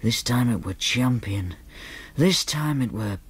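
Actor as a laconic young lad narrating, voice rising and falling, faint noise behind. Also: male voice, mid-range voice, unhurried, natural voice. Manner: flat first-person narration, grunting replies. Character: awkward, laconic young northern man.

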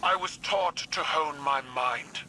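An actor voicing a proud, defiant alien ruler, speaking in a firm, measured way in a game cutscene. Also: male voice, fairly high pitch, heavy background noise. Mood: reflective. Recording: game cutscene with music behind.

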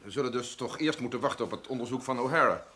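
A man's voice: medium pitch, fast talker, sharp tone, a little hiss or hum, natural voice. A man playing a cautious, skeptical colleague in an old radio play. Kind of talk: 1950s-style radio drama acting.

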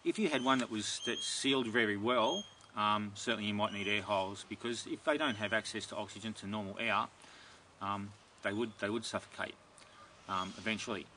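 A middle-aged man answering viewer questions about his garden in a relaxed, rambling way, recorded outdoors in a backyard. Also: lowish voice, a little fast, a little hiss or hum. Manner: relaxed and rambling, many ums and restarts. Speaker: gardening video host. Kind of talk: casual Q&A, reading viewer questions.

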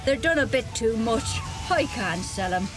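Female actor playing working-class gingerbread seller, kindly, homely, slightly high voice, audio drama, music behind. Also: lively intonation, moderate pace, natural voice. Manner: homely, clipped colloquial speech. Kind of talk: children's audio drama acting.

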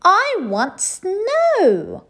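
A woman's voice: very high voice, huge pitch swings, a bit bright, pitched above the performer's own voice, full character voice. A woman voicing a stubborn, demanding little princess in a storybook, squealing and whining out her demands. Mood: cross, whiny. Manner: squealing, whiny, drawn-out demands.

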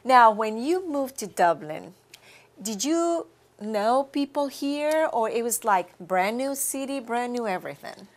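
A middle-aged woman hosting a friendly TV interview in a studio living-room set, unhurried, repeating words and laughing often. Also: on the high side, animated. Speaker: TV interview host.

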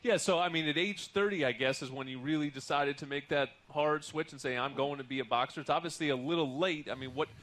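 A man's voice: a little high, quick pace, hardly any pauses, fairly bright tone. A man hosting a sports talk show on a headset mic, upbeat and joking. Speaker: show host.

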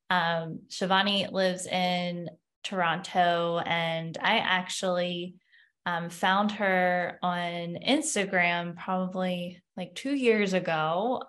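A woman's voice: fairly low pitch, fairly bright tone. A middle-aged woman hosting a podcast in a conversational interview style over a video call. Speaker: podcast host, doctor. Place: indoor room, video call. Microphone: desktop microphone on a video call.

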